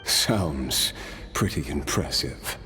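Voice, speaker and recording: A weary immortal warrior from a video game, speaks in a deep register. The delivery is slow and thoughtful, like someone looking back over long years with dry restraint. Faint music plays underneath. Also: male voice, lively intonation, exaggerated voice. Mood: wry, resolute. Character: world-weary immortal male warrior recalling his admired rival. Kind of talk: dramatic video game voice acting.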